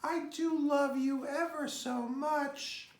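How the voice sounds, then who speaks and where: A man's voice: very high voice, fairly even intonation, slow, pitched above the performer's own voice. A man voicing an affectionate, doting little girl in a storybook, recorded in a roomy, echoing space. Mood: adoring. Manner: gushing, affectionate. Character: adoring, doting little girl cooing to her cat.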